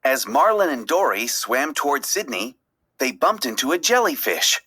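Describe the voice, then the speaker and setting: A woman reading a children's story aloud as an expressive, dramatic narrator. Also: very deep voice, moderate pace, breathy edge. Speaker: storybook reader.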